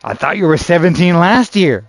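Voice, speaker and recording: A man around thirty with a voice pitched a little high, chatting casually with online friends during a game. He keeps it loose and teasing, tossing out "dude" and "bro" and the odd swear word. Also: expressive, normal speed. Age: 30s. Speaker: gaming streamer.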